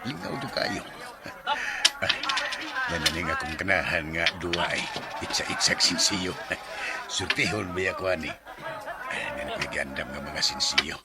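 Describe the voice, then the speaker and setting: An actor playing a stubborn, complaining seventy-year-old farmer in a radio drama, with a Visayan Philippine accent and folksy way of talking. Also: male voice, sits low, very animated, a little slow, pauses often, a loud crowd, heavy background noise, full character voice. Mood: playful, excited. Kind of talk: radio drama acting with first-person narration.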